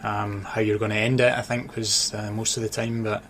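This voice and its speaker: A man with a mixed Scottish accent from Dundee, Glasgow and Edinburgh, chatting thoughtfully and haltingly as a radio interview guest. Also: lowish voice, little pitch movement, fairly bright tone. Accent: Scottish, mix of Dundee, Glasgow and Edinburgh. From Dundee, Scotland. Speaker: interview guest, author and sports journalist. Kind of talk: casual radio interview chat. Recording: clear background noise.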